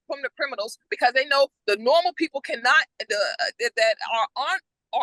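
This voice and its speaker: A woman's voice: high voice, sharp tone, no room sound, low-quality recording. A woman from the American South arguing insistently as a panelist on a remote call from her car. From southern United States. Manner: insistent, repeats "you understand?". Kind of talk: heated panel debate.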